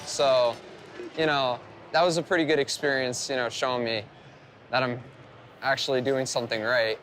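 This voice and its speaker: A man's voice: medium pitch, fairly even intonation, moderate pace, fairly bright tone. Teen guy giving casual interview answers, noisy urban surroundings. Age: late teens. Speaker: gaming fan and streamer. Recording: noisy urban event ambience. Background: clear background noise.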